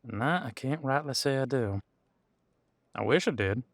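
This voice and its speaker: A man acts out a veteran lawman of a supernatural police squad in an audio drama. He is friendly and folksy, talking in an easygoing, halting, chatty way at a middling pitch. The sound is dry and close. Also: animated, no echo. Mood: folksy, reminiscing. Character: friendly, folksy, long-serving supernatural squad cop, older than he looks. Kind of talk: conversational audio roleplay to an unseen partner.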